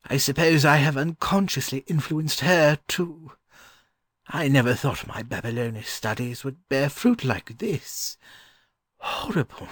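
A man voicing a courteous, bookish gentleman in a storybook, hesitant and puzzled. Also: medium pitch, lively intonation, measured pace, dry recording, clean studio sound, pitched above the performer's own voice. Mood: uneasy, musing. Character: mild, bemused, bookish learned gentleman.

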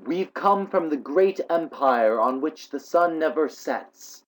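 A man's voice: fairly high pitch, animated, slow pace, pitched above the performer's own voice, rough recording. A man voicing a bold, cheeky young English schoolboy in an audiobook, with a brash, boastful manner. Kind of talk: audiobook character voice.

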